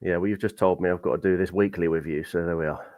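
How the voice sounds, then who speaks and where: A laid-back British man in his late thirties talks in a low voice with a dry sense of humor. The sound is close and dry, with no room echo. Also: fairly even intonation, fast talker, a little mellow. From United Kingdom. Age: 30s. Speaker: podcast co-host. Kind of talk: casual chat.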